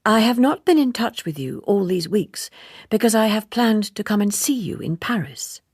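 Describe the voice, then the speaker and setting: A woman reading an intense, earnest young female typist in a storybook, speaking formally and directly with urgency in a husky voice. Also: mid-range voice, animated, moderate pace, darkish tone, no room sound, studio quality, pitched above the performer's own voice. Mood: anxious, insistent.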